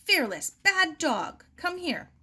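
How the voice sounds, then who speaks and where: A woman's voice: very high voice, very animated, slow, bright, forceful tone, voice pushed up, heavily acted. A woman voicing an earnest, anxious young girl in a storybook, bossy and emphatic. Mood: urgent, scolding. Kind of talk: storybook character dialogue.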